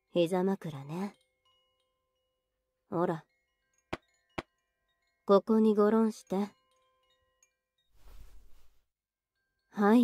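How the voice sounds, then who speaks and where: A woman playing a young, teasing older sister in an anime ASMR roleplay, scolding yet soft and intimate, close to the microphone. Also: mid-range voice, no room sound. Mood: soft, indulgent. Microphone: very close, dry microphone.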